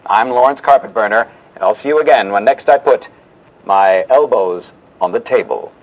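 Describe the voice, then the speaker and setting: A man's voice: medium pitch, natural voice, poor audio. A man playing a pompous, easily flustered host in a parody of a vintage television interview show, with a mock-dramatic delivery. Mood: grand, announcing. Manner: mock-dramatic announcer delivery, halting and hesitant when questioning.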